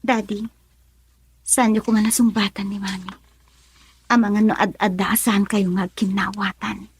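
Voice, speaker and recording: An actress playing an anxious, tender young student in love, in a melodramatic radio drama with a breathy delivery. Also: female voice, mid-range voice, level tone, unhurried, lightly acted. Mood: upset, pleading.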